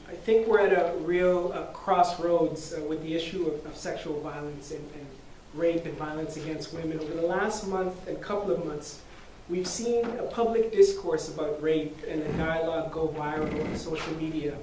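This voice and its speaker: A man's voice: a little high, steady tone, normal speed, darkish tone. A man hosting an event, giving earnest opening remarks with many fillers and restarts in a university lecture hall. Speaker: event host. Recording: echoey hall, distant mic, faint noise.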